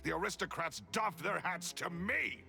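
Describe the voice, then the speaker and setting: A man's voice: high-pitched, normal speed, vocal fry, sharp tone, faint music behind, exaggerated voice. A man voicing a bitter, jealous male magician villain in a game, sneering and menacing. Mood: boastful. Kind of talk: game voice acting.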